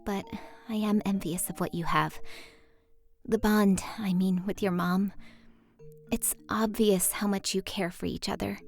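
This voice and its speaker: A woman's voice: mid-range voice, steady tone, a little slow, gravelly, soft background music. An actress playing a moody, lonely teenage girl in a visual novel, wry and confiding. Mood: wistful. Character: moody, lonely, teasing teenage girl, secretly soft-hearted.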